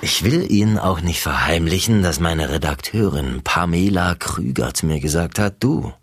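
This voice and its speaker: A man's voice: sits low, lively intonation, moderate pace, slightly rough, exaggerated voice. An actor playing a chatty, smug male radio host in a satirical interview, wordy and theatrically emphatic.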